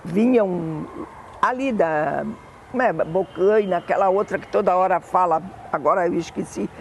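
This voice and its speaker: An older woman with a Brazilian Portuguese accent, fondly recalling family memories in an oral history interview, often pausing to correct herself. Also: lowish voice, slight background noise. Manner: fond, meandering reminiscing with self-corrections.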